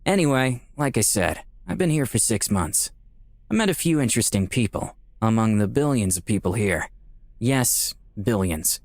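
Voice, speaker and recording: A man's voice: on the low side, lively intonation, moderate pace, no room sound. A man playing a skeptical, dryly sardonic dead man in Hell, narrating a visual novel in a wry, conversational way.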